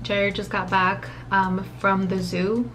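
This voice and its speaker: A young woman in her twenties telling a story to her camera in a casual, rambling way. She is profane, leans on lots of fillers, drops in Spanish phrases, and her voice is a little raspy from a dry mouth. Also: medium pitch, little pitch movement, slow, background noise. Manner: chatty, profane, rambling with many fillers and asides; mixes in occasional Spanish phrases. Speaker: vlogger.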